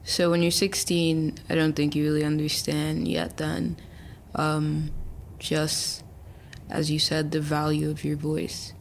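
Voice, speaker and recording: A woman's voice: low voice, completely flat delivery, takes it slowly, creaky, slight background noise. A woman in her early twenties, a chatty interview guest who talks casually with many fillers and restarts. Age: early 20s. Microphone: remote call.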